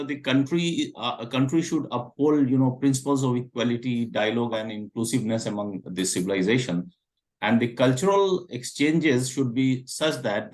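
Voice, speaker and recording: A man from India speaking as a professor on a webinar panel, pausing often with fillers, heard over a video call. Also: fairly low pitch. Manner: hesitant, many fillers. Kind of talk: prepared talk at an online panel.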